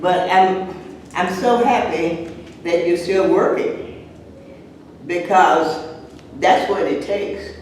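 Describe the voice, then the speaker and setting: An older woman from Texas, raised in Chicago, a retired teacher and actress reminiscing as a guest, halting and repeating words with frequent chuckles. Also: lowish voice, a little mellow, a little hiss or hum. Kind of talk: reminiscing at an event. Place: large indoor room. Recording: echoey room, mic far off.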